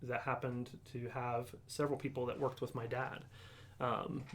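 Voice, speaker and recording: A man in his forties from Ohio, telling his faith story in a halting, reflective way with many pauses and ums. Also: medium pitch, level tone, a bit bright, slight background noise. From Ohio, USA. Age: 40s. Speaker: guest sharing testimony. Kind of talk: casual conversational testimony.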